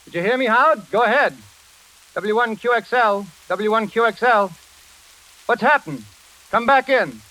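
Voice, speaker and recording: An actor playing a brisk, slangy engineer who frets over his friend in a 1940s radio drama. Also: high-pitched, lively intonation, bright, forceful tone. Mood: worried. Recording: noisy, crackling vintage radio recording.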